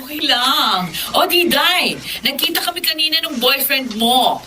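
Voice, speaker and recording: Sharp-voiced, effusive Filipina aunt. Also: female voice, sits high, lively intonation, a little slow, slight background noise, heavily acted. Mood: delighted. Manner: effusive, gushing. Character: gossipy, approving Filipina aunt. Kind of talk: Filipino radio drama acting.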